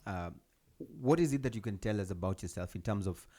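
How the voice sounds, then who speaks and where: A man's voice: on the low side, expressive, brisk, warm tone. A man hosting a radio interview in a studio, often stumbling and repeating words as he asks questions. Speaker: radio show host.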